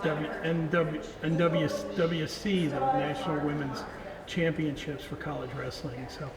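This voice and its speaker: A man interviewing a guest at a tournament in a relaxed, chatty way, with many fillers. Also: fairly high pitch, unhurried, vocal fry, loud music behind the voice. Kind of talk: casual on-site interview. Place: wrestling tournament arena. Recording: echoey arena with crowd noise.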